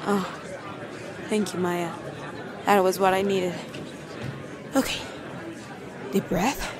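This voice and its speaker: A woman plays a nervous character in an audio drama. She speaks slowly at a medium pitch, with a busy crowd behind her. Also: level tone, lightly acted. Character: anxious female event speaker. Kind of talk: amateur audio drama acting.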